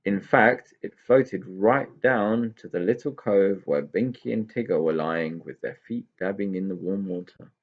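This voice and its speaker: A man with a gravelly, husky voice reading a children's story expressively as a storyteller. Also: low voice, a little slow, hardly any pauses, narrow, tinny audio.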